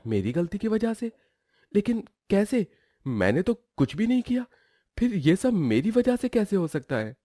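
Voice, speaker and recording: A man's voice: sits high, big pitch swings, moderate pace, warm tone, dry recording, studio quality. A man playing a lazy young cartoon woodcutter who protests plaintively and denies all blame. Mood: whining protest.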